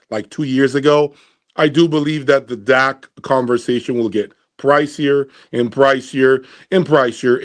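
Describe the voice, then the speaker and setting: A man hosting an audio gear review, talking casually and emphatically to viewers, deliberate and repeating his points for emphasis. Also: medium pitch. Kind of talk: casual opinionated commentary to viewers.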